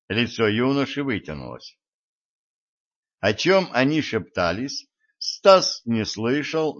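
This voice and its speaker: A man's voice: mid-range voice, a bit bright. Man reading an audiobook, dull lo-fi sound. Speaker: audiobook narrator. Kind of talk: scripted audiobook narration.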